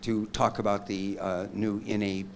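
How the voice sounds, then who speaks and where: A man's voice: on the low side, flat delivery, unhurried, a little mellow, slight background noise. A man chairing a workshop session, making announcements hesitantly, with many ums and uhs. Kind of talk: formal meeting announcements.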